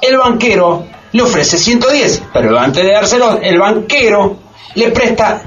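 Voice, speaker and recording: Animated, high-pitched know-it-all Latin American neighbour. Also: male voice, measured pace. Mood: explaining. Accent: Latin American Spanish with voseo. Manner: exasperated, emphatic, explaining. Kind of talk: comic radio drama acting. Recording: dull, distant radio recording, faint music.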